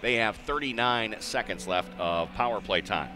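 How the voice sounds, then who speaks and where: An American man doing live radio-style play-by-play hockey commentary, measured in routine play and excitable on big plays. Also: on the low side, a crowd in the background. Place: indoor ice arena. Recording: noisy live rink broadcast.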